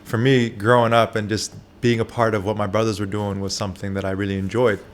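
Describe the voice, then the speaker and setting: A man who grew up in Japan, a barber, answering interview questions candidly, with soft pauses and frequent fillers. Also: on the low side, flat delivery, slight background noise.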